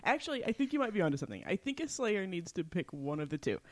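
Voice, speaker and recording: A woman with a very lively, swooping delivery recaps a show as a podcast co-host. Her medium-pitched voice is playful and giggly, in an easy conversational style. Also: fast. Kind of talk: casual episode recap and commentary.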